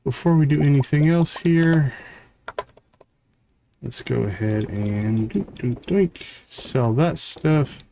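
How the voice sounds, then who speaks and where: A man's voice: mid-range voice, slow, creaky, mellow, a little hiss or hum. A man giving casual live gameplay commentary as a streamer, muttering short, easygoing remarks to himself. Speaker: game streamer.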